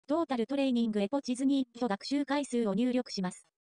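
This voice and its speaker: Woman doing scripted tutorial voiceover, kinda high pitched but soft-toned, very dry sound. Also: fast talker, dry recording. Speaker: tutorial narrator. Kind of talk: scripted software tutorial narration.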